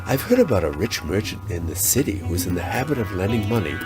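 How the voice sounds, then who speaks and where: A man with a fairly low voice tells a children's folk tale from a script. His intonation swings widely and livelily. Guitar music is clearly heard behind him. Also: very animated, fast. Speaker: storyteller.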